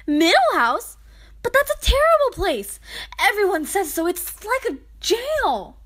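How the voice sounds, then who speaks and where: A woman's voice: very high-pitched, very animated, a little slow, a bit bright, heavily acted. A woman playing a headstrong, defiant young girl who pleads emphatically in an audio drama. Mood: indignant, pleading. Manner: emphatic, indignant. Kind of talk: fan audio drama acting.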